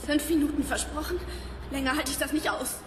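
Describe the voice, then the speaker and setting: A woman's voice: high-pitched, fairly even intonation, a little fast, noisy background, natural voice. An actress playing an anxious, queasy young woman, a reluctant sleuth who complains colloquially in a radio drama. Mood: nervous, frightened. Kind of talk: German radio drama acting.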